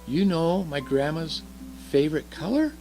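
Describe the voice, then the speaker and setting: A man voicing an excited, wide-eyed little girl full of eager questions, reading from a storybook. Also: on the high side, measured pace, faint music behind, pitched above the performer's own voice, rough recording. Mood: curious.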